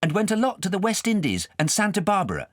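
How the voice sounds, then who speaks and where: Man voicing a timid, high-pitched little boy. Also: huge pitch swings, quick pace, dry recording, clean studio sound. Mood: timid, earnest. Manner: timid, earnest.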